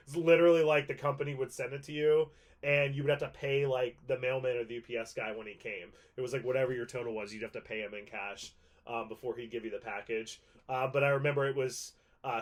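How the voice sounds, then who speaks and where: Casual, rambling man in his thirties. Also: mid-range voice. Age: 30s. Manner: rambling, repeats points for emphasis. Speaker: video host and prop collector. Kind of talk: casual explainer to viewers.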